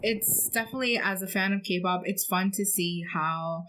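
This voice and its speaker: A woman's voice: mid-range voice, moderate pace, background noise. A woman co-hosting a podcast, chatting casually and sharing her opinions. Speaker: podcast co-host.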